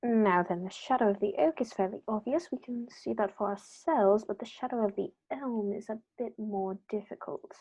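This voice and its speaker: A woman playing a calm, clever male detective in an old-style radio play, measured and precise as she works things out aloud. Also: mid-range voice, husky, low-quality recording. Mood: calm, deductive. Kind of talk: 1930s radio play acting.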